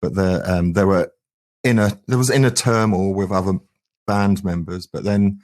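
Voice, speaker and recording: A man's voice: low voice, steady tone, normal speed, darkish tone. An English man in his forties, a musician guest reminiscing casually in a hesitant, rambling way. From England. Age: 40s. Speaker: band frontman, interview guest.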